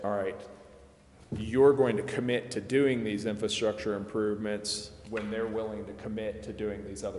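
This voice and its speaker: A man's voice: lowish voice, darkish tone. A man speaking as a lawyer advising a public meeting, explaining informally in a plain-spoken, discursive, self-deprecating way. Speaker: lawyer advising a city council. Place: indoor council chamber. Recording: echoey hall with long reverb, background noise.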